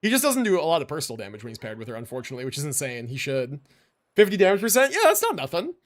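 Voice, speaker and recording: A man with a high, very animated voice is streaming a game. He talks casually, works out his moves aloud and answers chat, with plenty of slang and swearing. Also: very fast. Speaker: game livestreamer. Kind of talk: casual gameplay commentary with chat replies.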